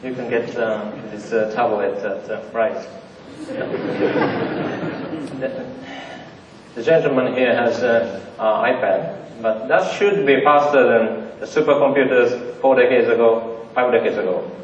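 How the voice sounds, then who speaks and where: A man's voice: medium pitch, takes it slowly, noisy background. A man with as his giving a conference talk to an audience, joking in simple phrasing with lots of fillers. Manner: joking, plain non-native phrasing with many fillers. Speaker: conference presenter. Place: large theater. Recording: dull, roomy audience recording from far off.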